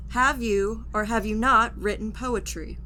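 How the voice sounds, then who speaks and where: Female futuristic court official, acted by a woman: stern, scornful, formal, coldly clipped delivery, higher register, audio drama. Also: steady tone, unhurried, pushed and bright, slight background noise. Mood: cold, interrogating. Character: stern, contemptuous female military judge of a futuristic technocracy. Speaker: actor.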